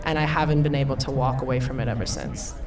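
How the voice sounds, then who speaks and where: A woman in her thirties with a low voice shares how she came to her field, in an animated storyteller's manner. She sits back from the microphone, and a crowd can be heard in the room. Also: fast talker. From Eastern Europe. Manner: animated storyteller, laughs often. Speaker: panelist. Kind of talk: personal story on a panel. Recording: far from the mic, crowd behind.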